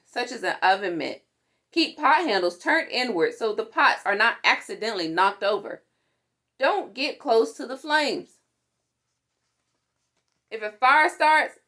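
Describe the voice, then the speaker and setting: Animated woman reading a children's picture book. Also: on the high side. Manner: deliberate, animated, with playful sound words and exclamations. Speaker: storytime reader for a fire department. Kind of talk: expressive read-aloud of a children's picture book.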